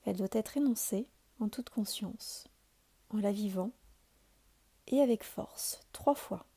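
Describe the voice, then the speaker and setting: Mellow-voiced woman guiding a calm meditation. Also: mid-range voice, breathy edge. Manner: calm, soothing, deliberate delivery with pauses. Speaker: yoga nidra instructor. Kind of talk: guided meditation, measured scripted instruction.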